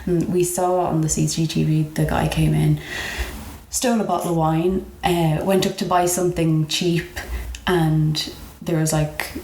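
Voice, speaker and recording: A woman's voice: deep voice, flat delivery, a little slow, gravelly, a little mellow. A young woman with an Irish accent telling stories casually, acting out what people said as she goes. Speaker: comedian guest telling retail stories. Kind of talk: casual conversational storytelling. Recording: roomy echo, faint background noise.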